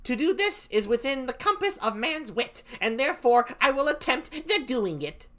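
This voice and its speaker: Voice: sits high, very animated, normal speed, pushed and bright, pitched above the performer's own voice, exaggerated voice. A reader voicing the clown as a playful, punning male servant in a play read aloud.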